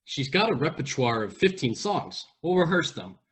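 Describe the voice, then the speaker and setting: An actor in a radio play as a calm, encouraging musical director with a husky voice. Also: male voice, a little high, moderate pace, full character voice.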